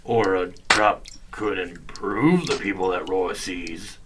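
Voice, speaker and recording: An actor playing a cocky, simple-minded space adventurer who talks about himself by name in a comedic radio drama. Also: male voice, low voice, slow, a bit bright, a little hiss or hum. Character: cocky, dim, pleasure-seeking space adventurer who speaks in third person.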